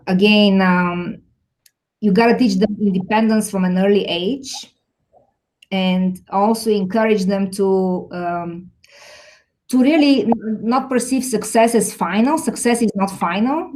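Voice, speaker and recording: A woman from Bulgaria in her forties, a technology executive answering interview questions in a thoughtful way, with frequent pauses. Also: medium pitch, darkish tone, low-quality recording. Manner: thoughtful, many fillers and restarts.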